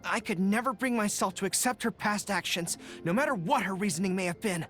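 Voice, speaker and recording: A man's voice: high voice, brisk, fairly bright tone, faint music behind. An actor playing an earnest, spirited young male army general in a video game, speaking sincerely. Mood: grave. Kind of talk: video game character voice lines.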